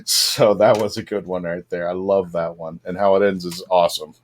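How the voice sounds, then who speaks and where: A man hosting a podcast fan panel, chatting casually and telling stories in an enthusiastic, halting way. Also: deep voice, little pitch movement.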